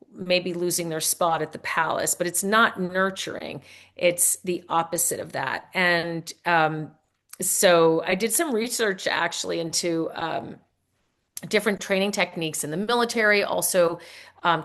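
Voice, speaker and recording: A woman's voice: on the low side, fairly bright tone. A woman author speaking as an interview guest, explaining in a casual, rambling way with self-corrections.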